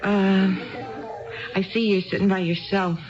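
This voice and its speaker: An anxious, self-doubting married woman played by a radio actress from a 1970s drama. Her voice sits at an ordinary female pitch and she talks in hesitant, rambling runs. The sound is dull, like an old broadcast. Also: flat delivery, unhurried, soft background music, lightly acted. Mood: timid, flustered. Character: anxious, indecisive, chatty neglected married woman.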